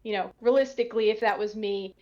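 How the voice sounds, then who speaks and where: A woman's voice: mid-range voice, fast, darkish tone. Hesitant woman, slightly dull lo-fi audio. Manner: hesitant, tentative, trailing off into asides. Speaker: audio drama cast or crew member giving commentary. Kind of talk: casual panel commentary.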